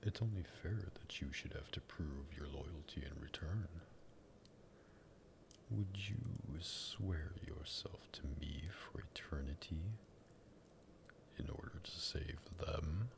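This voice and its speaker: A man playing a sadistic, possessive vampire master in an ASMR roleplay, in a breathy, gravelly whisper with a teasing, condescending drawl. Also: rumbling low, slow pace, noisy background, heavily acted. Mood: teasing, taunting. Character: sadistic vampire master, possessive and menacing, toying with captive lover.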